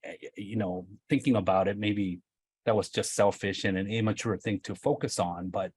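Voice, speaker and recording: A man's voice: lowish voice, steady tone, moderate pace, darkish tone, husky, no echo. A middle-aged man, a company executive, speaking reflectively on a video call, often hedging and asking "right?". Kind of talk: casual panel discussion.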